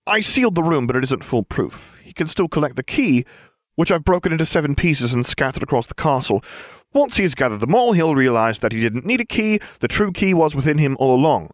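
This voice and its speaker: A man's voice: a little high, very animated, fairly quick, dry recording, pitched above the performer's own voice. A man voicing a dramatic, self-absorbed teenage boy wizard in a storybook reading, whiny and theatrical. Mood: smug. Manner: whiny and theatrical, then smugly explaining.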